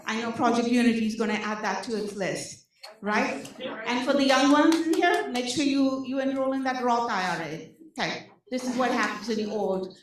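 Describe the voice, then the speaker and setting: A woman with an Indian accent gives an animated talk to a room of service providers, full of rhetorical questions and frequent "okay?" check-ins. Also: a little high, moderate pace, crowd noise. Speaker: nonprofit program presenter. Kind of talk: energetic public talk to an audience. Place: large meeting room. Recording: echoey hall, speaker far from mic.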